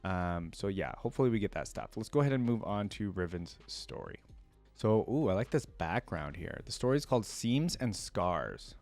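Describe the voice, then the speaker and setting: A man's voice: lowish voice, animated, normal speed, darkish tone, soft background music. A man hosting a reaction video, reading lore aloud and adding casual commentary.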